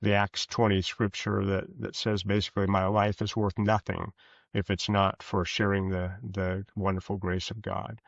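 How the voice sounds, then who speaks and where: Male podcast guest, deeper register, earnest and halting, reflective delivery, dull roomy recording. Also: flat delivery, gravelly. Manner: halting, earnest, rephrases as he goes. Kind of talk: reflective interview conversation. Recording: muffled, roomy, echoey sound.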